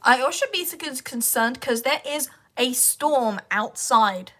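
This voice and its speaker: High, slow-talking hoarse woman. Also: expressive, a bit bright. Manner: rambling, talks to herself while fighting tech. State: hoarse, sore throat. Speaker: voice actor hosting a livestream Q&A. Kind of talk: casual livestream chat.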